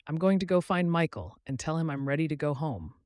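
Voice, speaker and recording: A man's voice: fairly high pitch, lively intonation, brisk, darkish tone, no room sound, studio quality, pitched above the performer's own voice, lightly acted. A man voicing a sarcastic, torn young engaged woman in story dialogue, with an emphatic delivery. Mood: resolute.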